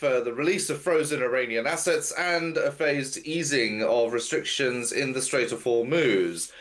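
A man with a blocked nose briefing market and world news over a video call, steady with frequent fillers. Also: fairly high pitch. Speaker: news commentator.

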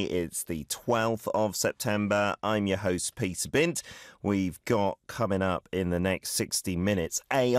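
Deep-voiced chatty male radio host. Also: no room sound, clean studio sound. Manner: chatty and upbeat. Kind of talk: radio show chat. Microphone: studio mic.

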